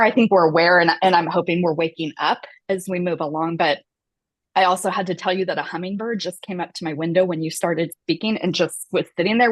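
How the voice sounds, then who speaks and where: A woman's voice: low voice, slightly rough, no room sound. A woman making a heartfelt comment to the speaker at an online conference. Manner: heartfelt, earnest. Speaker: audience member commenting.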